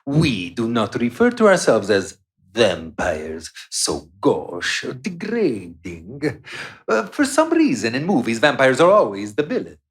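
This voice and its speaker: An actor playing a condescending, menacing vampire doctor in a live radio play, theatrical and chuckling in manner. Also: male voice, medium pitch, huge pitch swings, a little slow. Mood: smug, gloating. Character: gloating, menacing male vampire doctor and boss.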